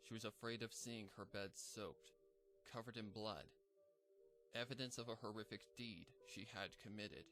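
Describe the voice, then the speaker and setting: Low-voiced man reading a dark story. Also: steady tone. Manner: measured, somber reading. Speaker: fanfic narrator. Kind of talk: scripted story narration.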